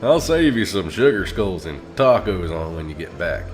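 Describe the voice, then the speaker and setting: A man's voice: sits low, very animated, normal speed, soft background music. A man playing a mocking police colleague, talking in a smug, casual, taunting way.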